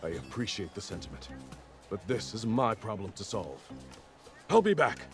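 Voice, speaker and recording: Weary, low-voiced army general. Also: male voice, sing-song. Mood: weary, resolute. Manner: formal, measured. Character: weary, dutiful, earnest army general, adult man. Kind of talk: dramatic video game voice acting. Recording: music behind the voice.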